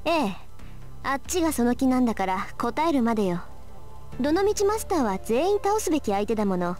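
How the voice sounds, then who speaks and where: A proud, cool teenage girl from a visual novel. Her speech is high, very rapid and lively in pitch, brisk and assertive over soft music. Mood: cool, businesslike. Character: sharp, proud, businesslike teenage girl mage. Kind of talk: Japanese visual novel voice acting.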